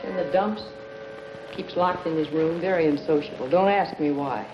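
An actress playing a brassy, hard-boiled gangster's moll, blunt and needling, in a 1950s crime film. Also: female voice, deep voice, moderate pace, heavy background noise, lightly acted. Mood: dry. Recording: dull old film soundtrack with music.

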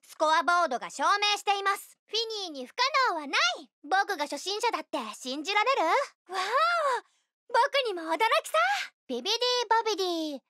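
A very high-pitched, bright child magician for a video game. The little performer is cute and cheeky, presenting everything with theatrical, boastful flourish. The recording is close and dry. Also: female voice, very animated, moderate pace, dry recording, full character voice. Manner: theatrical, playful, boastful showman patter. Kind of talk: Japanese video game character voice lines.

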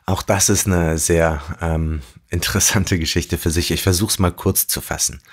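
A man in his forties from Germany, telling his life story as an interview guest in a thoughtful way, with pauses and fillers. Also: sits low, steady tone, slightly rough. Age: 40s. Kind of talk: reflective storytelling.

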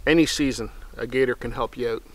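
A man's voice: medium pitch, fast, rough recording. A middle-aged man hosting his outdoor channel, chatting casually and easily to camera while seated in a snowy forest. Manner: relaxed, rambling, soft-spoken asides to his dog.

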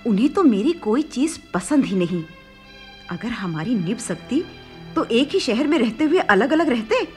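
A high-voiced, aggrieved daughter-in-law. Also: female voice, big pitch swings, a little slow, a little mellow, soft background music. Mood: resentful. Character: aggrieved, resentful young daughter-in-law and wife. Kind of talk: Hindi radio play acting.

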